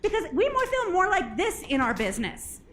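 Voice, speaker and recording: A woman speaking on a conference stage, giving an animated, jokey motivational talk with a slightly hoarse voice and frequent questions to the audience. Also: very high voice, fairly quick, a little hiss or hum. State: a bit hoarse, clears throat.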